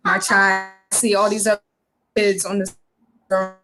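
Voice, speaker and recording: A woman children's book author answering interview questions, earnest and halting with many pauses and fillers. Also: mid-range voice, flat delivery, bright, forceful tone, faint music behind, low-quality recording. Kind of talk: interview answers on a video call.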